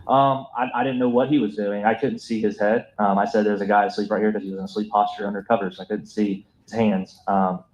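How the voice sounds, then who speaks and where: A man's voice: on the low side, level tone, a little fast, few pauses, darkish tone, muffled recording, a little hiss or hum. A man, a police officer, testifying in a courtroom, hesitant with many ums and restarts.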